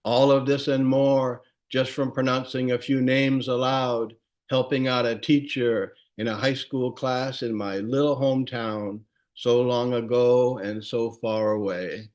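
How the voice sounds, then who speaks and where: A man in the middle of his pitch range reads his own poem over a video call. He tells it calmly and steadily, like a teacher recalling a story for a class. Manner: measured, warm storytelling recitation. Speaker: poet and teacher.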